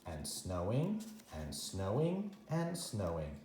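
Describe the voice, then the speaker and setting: A man reads a children's picture book in a very animated, swinging voice. He uses dramatic pauses for young listeners, and the room adds a noticeable echo. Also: low voice, background noise. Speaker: teacher reading a children's story. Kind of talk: animated read-aloud storytime narration.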